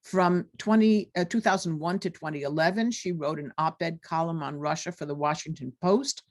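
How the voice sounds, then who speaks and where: A woman professor reads out a guest speaker's biography as a formal introduction. She talks through it in an ordinary speaking voice, often pausing on ums and uhs. The delivery is friendly and plain. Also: sits low. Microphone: video call.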